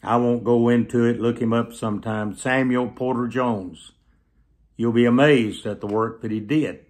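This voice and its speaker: A man in his seventies preaching through personal stories, speaking earnestly to camera with emphatic, drawn-out phrases. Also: mid-range voice, fairly even intonation, a little mellow. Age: 70s. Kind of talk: sermon storytelling told to camera. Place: small plain indoor room, seated.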